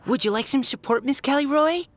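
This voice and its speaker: A woman voicing an earnest, caring, excitable young woman in a video game, polite and a little flustered. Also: sits high, fairly quick, background noise, close to an everyday voice. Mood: sincere, caring.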